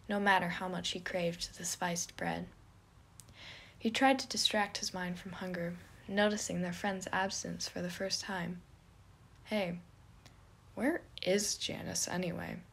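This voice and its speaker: A woman's voice: on the low side, moderate pace, husky. A woman reading scripted fiction as the narrator of an audio story.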